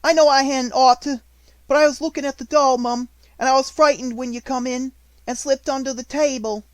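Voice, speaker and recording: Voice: high-pitched, fairly even intonation, unhurried, no room sound, pitched above the performer's own voice. A sobbing little scullery maid. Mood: pleading. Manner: sobbing, begging, rough ungrammatical speech. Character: poor young servant girl, tearful and pleading.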